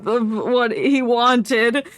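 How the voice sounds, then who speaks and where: A woman's voice: fairly high pitch, steady tone, drawn-out words. A woman streaming a video game, giving casual, wry, informal commentary.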